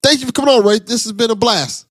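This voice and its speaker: A man's voice: very high voice, fast, no room sound, studio quality. A man hosting a podcast in casual interview chat, effusive and encouraging toward his guest. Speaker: podcast host.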